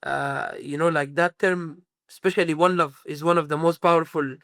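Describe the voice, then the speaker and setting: A man's voice: fairly high pitch, steady tone, moderate pace, a bit bright, no echo, studio quality. A man from Sudan, a musician guest in a casual interview, rambling with many fillers and restarts.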